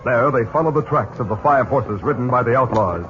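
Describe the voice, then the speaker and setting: A man's voice: medium pitch, lively intonation, fairly quick. A man giving dramatic scripted narration as the narrator of a 1950s western radio serial. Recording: dull old radio recording with music.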